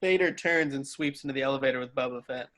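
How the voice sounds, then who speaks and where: A man's voice: mid-range voice, quick pace, a bit bright. A man narrating screenplay stage directions in an amateur table read, sometimes stumbling and rereading. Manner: stumbles over words and rereads.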